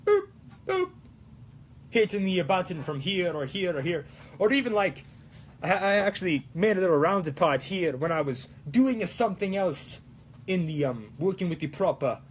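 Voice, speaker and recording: A man's voice: sits high, animated, normal speed, slight background noise. A man rambling casually as a livestream host, playful and theatrical, with a put-on, wavering Italian accent. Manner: playful, theatrical, peppered with mock-Italian exclamations. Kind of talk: casual rambling livestream chat while crafting.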